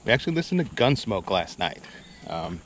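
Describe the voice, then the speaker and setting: Middle-aged male vlogger, low voice, relaxed rambling delivery, recorded outdoors with light nature noise. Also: brisk. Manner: laid-back, rambling, chuckles while talking. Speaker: vlog host. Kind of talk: casual outdoor vlog chat. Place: outdoors at a campground. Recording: outdoor noise, birds and insects.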